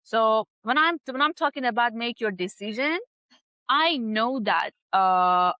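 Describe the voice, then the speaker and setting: Co-host: a woman in her thirties, non-native English speaker, higher voice, dry close sound, lively and word-searching. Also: unhurried, smooth, dry recording, highs cut off. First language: not English. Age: 30s. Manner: non-native grammar, animated, repeats words when searching. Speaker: podcast co-host. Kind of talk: casual chat.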